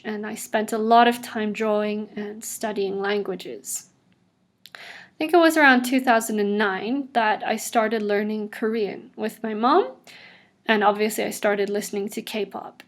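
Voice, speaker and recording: A woman in her twenties tells her own story in a casual, upbeat way, with asides as she goes. Her accent is a blend of international English. Her voice sits a little above the usual pitch for a woman. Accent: mixed international English. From South Africa. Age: 20s. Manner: chatty and upbeat, with frequent laughs and asides. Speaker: vlogger. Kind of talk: casual personal narration over drawings.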